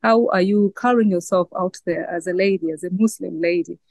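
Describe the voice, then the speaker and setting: A woman's voice: on the low side, brisk, darkish tone, no room sound. A young Ugandan woman journalist with a Swahili-influenced East African accent, answering interview questions thoughtfully with many fillers over a video call. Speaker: guest journalist. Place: outdoors by a garden.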